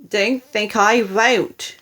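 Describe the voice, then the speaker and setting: Woman acting a cranky, shouting old grandma. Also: mid-range voice, steady tone, extremely slow. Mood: angry, demanding. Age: older. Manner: shouting, scolding, accusing. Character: horrid bullying old grandma, cranky and suspicious.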